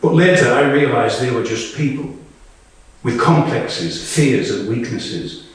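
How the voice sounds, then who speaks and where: A man performing a dramatic monologue as a candid, war-weary woman soldier, with deliberate, emphatic phrasing and pauses. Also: medium pitch, expressive, lightly acted. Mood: reflective. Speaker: actor reading a monologue. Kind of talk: live dramatic monologue reading. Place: small live reading indoors. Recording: echoey room, distant microphone.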